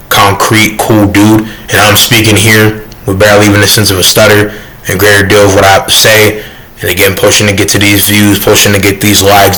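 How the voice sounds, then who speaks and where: A soft-toned young man rambling defensively in a casual vlog rant, full of fillers. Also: fairly low pitch, poor audio. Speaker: YouTuber.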